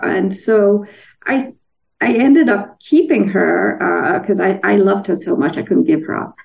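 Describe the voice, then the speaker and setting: A woman chats as an interview guest, telling stories in a halting, animated way. Her voice sits in a middle register with plenty of fry. She sounds a little distant from the microphone. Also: medium pitch. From Denmark. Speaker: interview guest, children's book author. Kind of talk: casual anecdotal storytelling in an interview.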